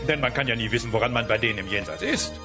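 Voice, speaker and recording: A man's voice: mid-range voice, animated, very fast, music in the background, close to an everyday voice. A man playing a greedy, unctuous dinosaur TV salesman in a sitcom audio play. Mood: flustered. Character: slick, greedy dinosaur televangelist-style TV salesman. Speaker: TV presenter.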